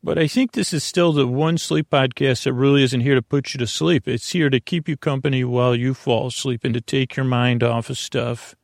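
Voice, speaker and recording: An American man hosting a sleep podcast in a creaky voice, rambling soothingly through tangents and stumbling over his words. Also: mid-range voice, fairly even intonation, normal speed, few pauses, no room sound, studio quality. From Long Island, US. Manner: meandering, tangential, stumbling and mashing words together; lulling, soothing delivery. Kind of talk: rambling bedtime monologue.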